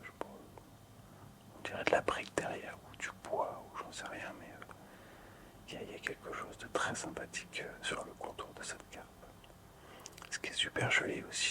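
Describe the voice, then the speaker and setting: A man hosting casual ASMR in a breathy, unvoiced whisper, hushed, hesitant and appreciative. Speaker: ASMR video host.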